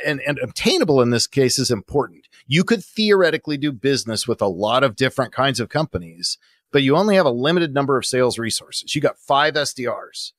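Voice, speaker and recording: A man's voice: medium pitch, a bit bright, dry recording, clean studio sound. A man giving explanatory interview answers as a guest consultant, methodically laying out points and checking in with "right?".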